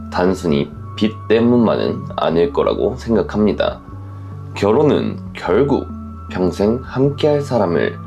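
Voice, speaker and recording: A man narrates in a very low register with a creaky grain and lively rise and fall. He sounds earnest and polite as he gives measured, reflective commentary like a host reading listener letters. Soft instrumental music sits behind him.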